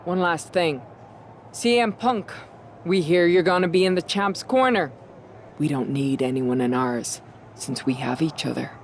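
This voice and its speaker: A woman's voice: low voice, unhurried, a little hiss or hum. A woman playing a cocky married wrestler in a video game, cutting a sarcastic, taunting promo. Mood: smug, threatening.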